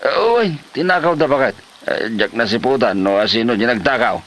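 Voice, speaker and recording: An actor playing a lazy, sly husband in an Ilocano comedy drama, with an Ilocano accent and exaggerated, whining excuses. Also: male voice, mid-range voice, expressive, brisk, fairly bright tone, close to an everyday voice. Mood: sheepish, evasive.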